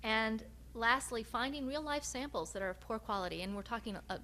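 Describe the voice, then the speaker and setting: A woman researcher giving a research presentation, speaking in a measured, hesitant way with frequent pauses. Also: on the high side, animated, bright, forceful tone. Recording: noticeable background hum and noise.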